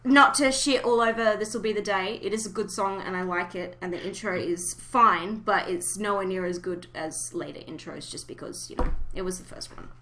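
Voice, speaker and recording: A woman's voice: medium pitch, normal speed, few pauses, sharp tone, a little hiss or hum. A woman hosting a casual fan podcast, chatting informally and giving blunt opinions. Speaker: podcast host.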